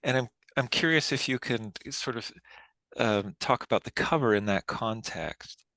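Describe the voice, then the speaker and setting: A man hosting an informal interview, tentative and halting, repeating words as he forms his questions. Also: medium pitch, pushed and bright, no echo. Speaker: host and interviewer at a book reading.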